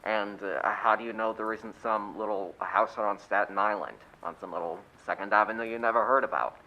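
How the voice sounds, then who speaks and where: A man's voice: fairly low pitch, a little fast, sharp tone. A man playing an unimpressed, patronising police desk officer in a suspense radio play, matter-of-fact, with muffled sound. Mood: skeptical, patronising.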